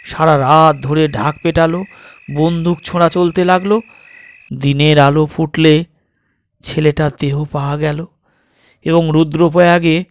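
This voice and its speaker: Male audiobook narrator for suspense tales: higher-pitched, deliberate and dramatic, recorded very dry. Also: no echo.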